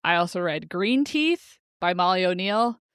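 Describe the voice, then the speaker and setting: A woman's voice: on the low side, animated, moderate pace, smooth, dry recording, studio quality. A woman co-hosting a podcast, chatting casually about books in a giggly, enthusiastic way. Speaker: podcast co-host. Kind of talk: casual book chat.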